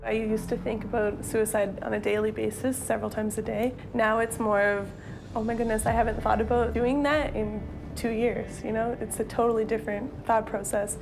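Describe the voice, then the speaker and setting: Young woman with gravelly, even voice. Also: fairly high pitch, moderate pace. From Nova Scotia, Canada. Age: 20s. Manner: candid, open, reflective. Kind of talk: documentary interview. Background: background music.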